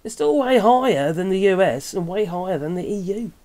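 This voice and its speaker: A man's voice: high-pitched, lively intonation, a little fast, warm tone. A man in his fifties with an English accent, giving casual, opinionated commentary in a rambling, chatty way, often correcting himself. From England. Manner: rambling, chatty, with tag questions and self-corrections. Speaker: vlogger commenting on news. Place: small wooden cabin room with open window.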